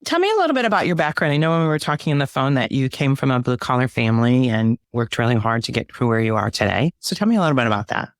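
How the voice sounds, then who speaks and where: A woman's voice: a bass voice, big pitch swings, fast talker, hardly any pauses, no echo, studio quality. A woman hosting a personal finance podcast, chatting with a guest in a friendly, conversational way. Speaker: podcast host. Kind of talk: podcast interview.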